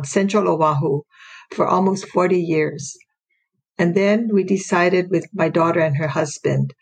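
An older woman from Hawaii, in her sixties or beyond, telling a personal story unscripted as an interview guest, her voice gravelly and husky. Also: lowish voice. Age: 60s or older. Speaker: interview guest, retired educator.

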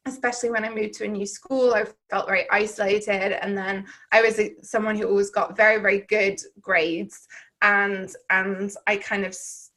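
A woman's voice: medium pitch, little pitch movement, normal speed, fairly bright tone. Reflective woman with a Southern English accent. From Wales. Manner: reflective, rambling, with frequent fillers and restarts. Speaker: podcast guest. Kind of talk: candid personal storytelling in conversation.

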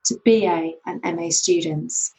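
A woman's voice: fairly low pitch, unhurried, mellow, soft background music. A woman hosting an interview, chatty and friendly, laughing easily. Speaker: interviewer, host. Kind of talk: conversational interview. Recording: echoey room.

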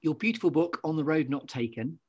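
A man's voice: fairly high pitch, lively intonation, a little fast, a little mellow, slightly rough, no room sound, dull audio. A man hosting an interview podcast in a conversational, effusive and wordy way, full of compliments. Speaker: podcast host.

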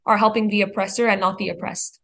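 Articulate teenage girl, medium pitch. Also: steady tone, fast, vocal fry, fairly bright tone. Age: teens, high school senior. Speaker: student interview guest. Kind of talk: interview answer, explanatory.

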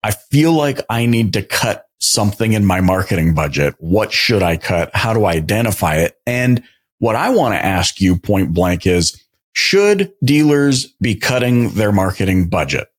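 A man with a fairly low voice hosting a business podcast. He is upbeat and chatty, and his pitch jumps up brightly when he gets enthusiastic. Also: big pitch swings, normal speed. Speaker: podcast host. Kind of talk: casual interview chat.

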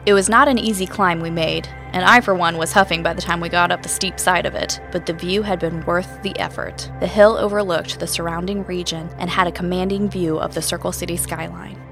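An actress playing a wistful supernatural radio host in a scripted first-person audio drama. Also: female voice, on the low side, gravelly, fairly bright tone. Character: wistful woman supernatural radio host telling her story. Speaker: radio show host and narrator.

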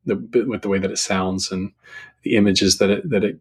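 A man's voice: low-pitched, steady tone, fairly quick, slightly rough. A middle-aged man talking casually and thoughtfully as a podcast guest, feeling his way through his sentences. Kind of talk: casual reflective podcast conversation.

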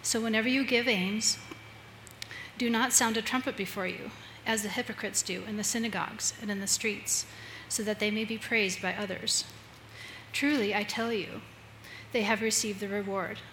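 A woman's voice: medium pitch, steady tone, moderate pace, fairly bright tone, poor audio. A woman reading a Bible passage aloud as a scripture reader. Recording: faint background ambient noise.

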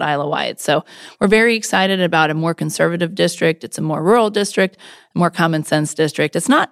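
Woman, fifties, low voice, dry close sound, earnest, conversational. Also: creaky, no room sound. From Florida. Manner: earnest, conversational, frequent restarts. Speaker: political candidate. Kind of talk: interview answers.

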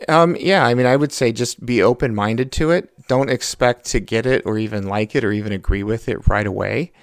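A man's voice: medium pitch, a little fast, no room sound, studio quality. A middle-aged man talking with his daughter, thoughtful and a bit hesitant, with many fillers. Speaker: guest, the host's father. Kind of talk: casual conversation.

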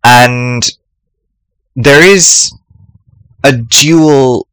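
A man presenting a reflective spoken essay for an academic symposium podcast, thoughtful and measured, often pausing to choose his words. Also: mid-range voice, a bit bright, smooth, no room sound, poor audio.

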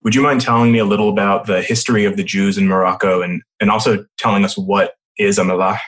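A man hosts a podcast with a clear, measured delivery. His voice is very low, and he moves from a read introduction to relaxed interview questions. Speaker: podcast host. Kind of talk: scripted intro, then casual interview.

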